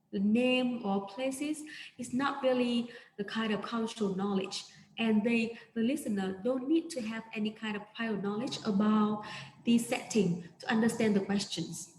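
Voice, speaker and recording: Vietnamese woman giving a slide talk on a video call, kinda high voice, lots of ums and restarts, roomy echo. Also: normal speed, darkish tone. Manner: hesitant, frequent fillers and restarts. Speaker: conference presenter. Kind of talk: academic presentation over slides. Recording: echoey room, faint ambient noise.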